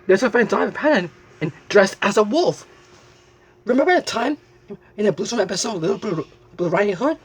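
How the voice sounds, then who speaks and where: A man hosting a story show, presenting excitedly to viewers and often halting to repeat phrases. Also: high-pitched, breathy edge.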